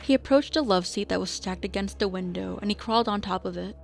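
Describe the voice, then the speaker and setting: A woman's voice: medium pitch, fast talker, gravelly. A woman reading scripted narration for a radio drama as the storyteller. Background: music.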